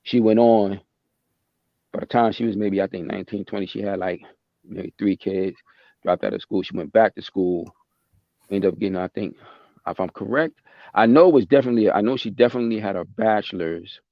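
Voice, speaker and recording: Deep voiced guy going off on a point, repeats himself a lot, dry muffled mic. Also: brisk, vocal fry, no echo. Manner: passionate, emphatic, repeats himself. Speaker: podcast host. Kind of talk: casual chat. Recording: dry, dull lo-fi sound.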